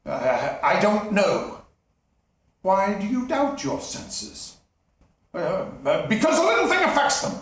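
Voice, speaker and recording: A man's voice: sing-song, extremely slow, heavily acted. A man voicing the miser Scrooge as a frightened, stammering old man. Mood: scoffing. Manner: shrill, panicky, stammering. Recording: echoey room, distant mic.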